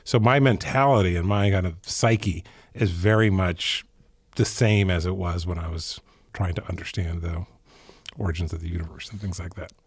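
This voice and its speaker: A man's voice: low voice, steady tone, normal speed, husky, no room sound. A middle-aged man from Ethiopia, a physician turned executive, answering interview questions in a thoughtful, meandering way with frequent restarts. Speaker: physician and business executive guest.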